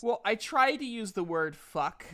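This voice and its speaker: A man's voice: high-pitched, animated, a little slow, a bit bright. A man in his thirties bantering casually as game master of a tabletop game, with a giggly, self-deprecating, teasing manner. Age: 30s.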